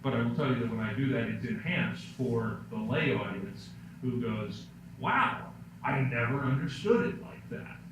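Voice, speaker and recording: A middle-aged man with a deep voice presents a public speaking workshop, telling stories with self-deprecating jokes. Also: lively intonation, normal speed. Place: lecture room. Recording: echoey room, distant mic, background noise.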